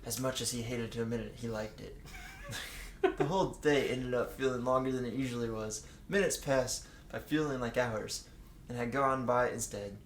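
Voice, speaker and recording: A man's voice: mid-range voice, very animated, moderate pace. Man reading casually, echoey room recording. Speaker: co-reader reading fan fiction aloud with commentary. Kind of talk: casual read-aloud with joking asides. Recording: echoey room with faint background noise.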